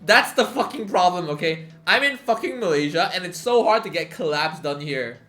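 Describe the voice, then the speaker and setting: A man's voice: high voice, animated, normal speed, sharp tone, faint music behind. A young man chatting casually on a live stream, rambling with many fillers and swearing freely. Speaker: livestreamer.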